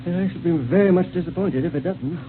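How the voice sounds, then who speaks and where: Guy acting as a fussy professorial inventor, kinda high voice, old 1930s radio show, super noisy. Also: fairly quick, narrow, tinny audio, close to an everyday voice. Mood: explaining. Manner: hesitant, professorial, stammering when flustered. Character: enthusiastic, modest male scientist inventor, learned and fussy. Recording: scratchy 1930s radio, heavy hum and noise.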